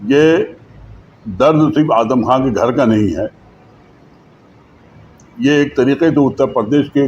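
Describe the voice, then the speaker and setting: A man giving an interview statement as a party politician, deliberate and phrase by phrase. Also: medium pitch, darkish tone. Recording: dull lo-fi sound, faint background noise.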